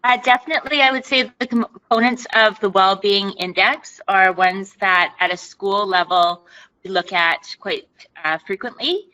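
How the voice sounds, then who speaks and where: Woman, school district guest, bright voice, measured explanations. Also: mid-range voice, highs cut off. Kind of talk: webinar interview answers.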